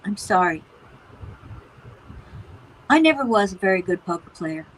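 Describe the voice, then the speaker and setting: A woman's voice: mid-range voice, big pitch swings, measured pace, slight background noise, poor audio. A middle-aged woman playing an emotional, wryly opinionated mother of a grown son in a naturalistic one-act play. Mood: wry, wounded. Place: virtual play performed over video call.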